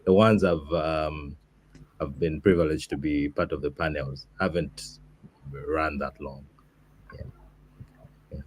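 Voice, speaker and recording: A man from Zambia leading a mock interview panel, talking in a relaxed, hesitant way with many fillers. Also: very deep voice, takes it slowly, pauses often.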